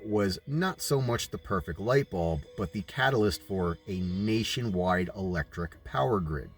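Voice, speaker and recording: A man reading a book aloud as a narrator, in a deliberate way with informal asides. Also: fairly low pitch.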